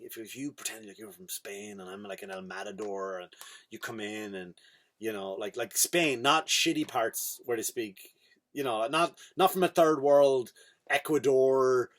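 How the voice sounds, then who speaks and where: An Irish man with an Irish accent hosting a comedy podcast, ranting in a rambling, animated monologue with big swings in pitch and loudness. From Ireland.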